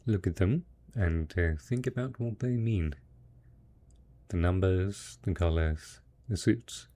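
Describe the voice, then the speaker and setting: Husky, slow-talking devoted husband played by a man. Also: lowish voice, full character voice. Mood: gently coaxing. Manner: gentle, coaxing, encouraging, full of endearments. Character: devoted, tender, hopeful husband caring for ghoul spouse after apocalypse. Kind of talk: roleplay audio drama, intimate monologue addressed to listener.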